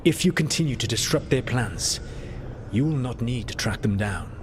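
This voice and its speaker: An actor playing an ancient elven rebel leader in a video game, a grave and calculating mentor who speaks in measured, formal counsel. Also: male voice, mid-range voice, expressive, slight background noise, lightly acted. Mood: calculating, warning. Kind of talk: dramatic video game dialogue.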